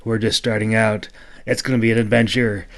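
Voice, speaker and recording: A man's voice: on the low side, level tone, a little fast, vocal fry. A man who narrates his own audiobooks, speaking informally as an independent storyteller at a microphone. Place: mostly quiet room at home. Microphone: solo home microphone.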